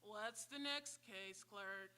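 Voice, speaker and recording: A woman playing a stern, formal courtroom judge in a live radio comedy, speaking deliberately. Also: medium pitch, sharp tone. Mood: brisk, official. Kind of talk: live old-time radio comedy acting. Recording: very noisy live stage pickup.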